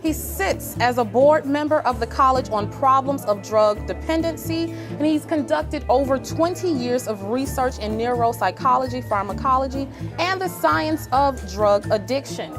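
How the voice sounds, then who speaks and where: A woman's voice: high-pitched, animated, normal speed, music in the background. A woman hosting a TV interview, encouraging, often repeating and rephrasing her questions. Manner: encouraging, repeats questions, frequent "really?" and "wow". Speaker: TV interview host. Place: book fair.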